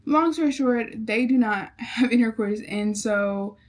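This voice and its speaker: A woman's voice: a little high, measured pace. Chatty young woman recapping a book. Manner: chatty, filler-heavy, rambling retelling. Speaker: book vlogger.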